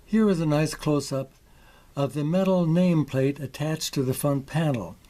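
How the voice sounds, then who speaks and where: A man presents like the host of a hobby workshop video. His voice sits slightly high for a man, yet the tone is dark and relaxed. He explains in a measured, orderly, presentational style.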